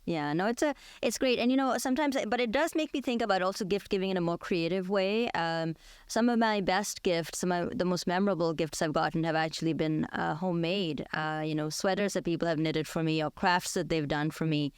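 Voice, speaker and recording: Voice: medium pitch, a little fast, clear, resonant tone, no echo, studio quality. A radio host who leads a thoughtful, conversational discussion.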